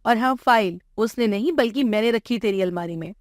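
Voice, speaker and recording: A woman acts out a middle-aged mother from a family story tale, outspoken and firm. Her delivery is emphatic and often pitched up, in a very dry recording. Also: brisk, no room sound, natural voice. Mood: stern scolding.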